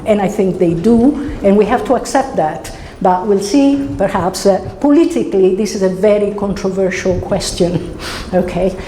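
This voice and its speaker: A middle-aged woman with an Italian accent delivers an academic lecture in a conversational way, feeling her way through phrases. Her voice is dark and mellow at a medium pitch. The sound is roomy and reverberant, as in a lecture hall. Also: a little hiss or hum. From Italy. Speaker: university researcher giving a symposium lecture.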